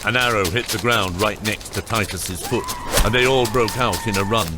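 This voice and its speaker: A man's voice: lowish voice, normal speed, music in the background. A man reading scripted narration for an epic fantasy audiobook.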